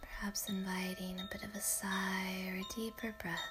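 A woman whispering a guided yoga nidra meditation in a hushed, soothing way. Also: mid-range voice, little pitch movement, moderate pace, over music.

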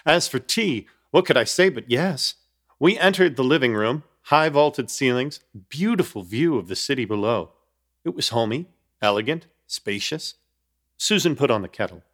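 A young man tells his story in a radio play with dry, measured humor, and his pitch rises and falls expressively from line to line. He sounds like a wry narrator caught up in a strange night. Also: animated, a bit bright. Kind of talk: first-person radio drama narration.

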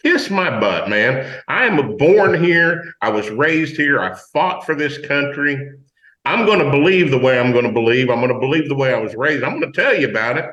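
A man with a low voice, a country singer and guest on a talk radio show, speaking bluntly in folksy words. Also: lively intonation, moderate pace, fairly bright tone, echoey room, highs cut off.

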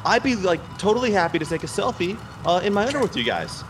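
Man as a high-pitched, flustered celebrity rapper. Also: very animated, background noise. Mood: flustered, obliging. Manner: halting, flustered, eager to please. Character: bashful, obliging famous rapper meeting fans.

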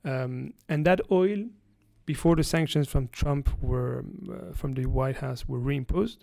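A man from France, a guest analyst giving explanatory interview answers, halting with frequent restarts. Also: medium pitch, creaky, darkish tone, dry recording, studio quality.

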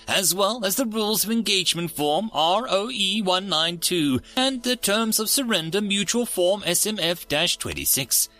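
A man's voice: high voice, sharp tone, pitched above the performer's own voice, heavily acted. A man voicing a female government clerk in a sci-fi story, politely unbothered and officious, with a breezy bureaucratic delivery.

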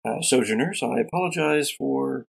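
An American man narrating a tabletop game as host and game master, with deliberate, theatrical phrasing. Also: medium pitch, expressive, vocal fry.

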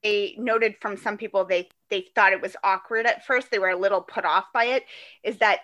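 A woman's voice: medium pitch, fairly even intonation, fairly quick, a bit bright. A woman co-hosting a podcast, explaining things in a rambling, casual way. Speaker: podcast co-host.

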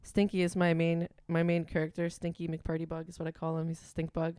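A young adult woman with a Wisconsin accent, a musician chatting casually in an interview, full of "like" and "um" and quick to laugh. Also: low voice, completely flat delivery, warm tone, clear, resonant tone, no room sound, studio quality. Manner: chatty, frequent "like" and "um", laughs easily. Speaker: musician guest.

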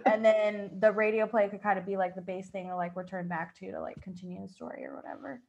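A woman's voice: medium pitch, level tone, quick pace, gravelly, a little mellow, highs cut off. A woman improv performer chatting casually and loosely. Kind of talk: casual chat.

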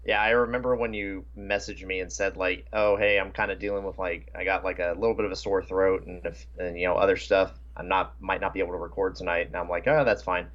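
A man's voice: low-pitched, little pitch movement, fast talker, few pauses, fairly bright tone, dull audio. A man co-hosting a podcast, chatting casually. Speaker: podcast co-host. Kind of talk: casual chat.

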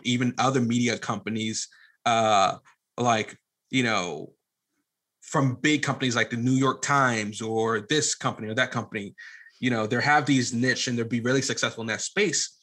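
Bright-voiced man, halting and rambling. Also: mid-range voice. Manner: halting, rambling, restarts phrases often. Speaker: podcast guest and media producer. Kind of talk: casual conversational interview talk.

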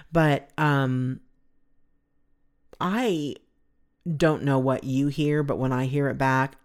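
A middle-aged woman with a deep, resonant voice hosting her own podcast, rambling in a chatty, self-deprecating way. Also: a little mellow. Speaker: podcast host. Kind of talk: casual solo podcast talk.

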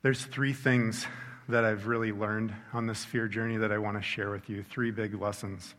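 A middle-aged man giving a sincere personal faith testimony, measured and reflective, slowing down for emphasis. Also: lowish voice, little pitch movement, a bit bright. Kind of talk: earnest reflective testimony talk. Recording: long hall reverb.

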